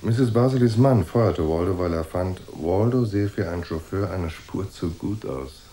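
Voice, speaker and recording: An actor playing a cool, unflappable private detective who narrates a noir radio drama in a dry, laconic way. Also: male voice, low-pitched, lively intonation, moderate pace, mellow. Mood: cool, matter-of-fact. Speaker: first-person narrator and detective.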